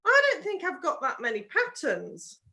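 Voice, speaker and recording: A woman's voice: high voice, huge pitch swings, moderate pace, creaky, bright, forceful tone. A woman chatting as a podcast co-host, earnest and giggly, rambling through her explanations. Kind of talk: casual chat.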